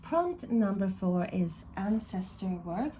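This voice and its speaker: A woman from Ireland with a Swedish-tinged accent, chatting casually in a slow, thoughtful, meandering way as she reviews tarot and oracle decks at home. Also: medium pitch, expressive, mellow, slight background noise, low-quality recording. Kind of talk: casual deck review chat.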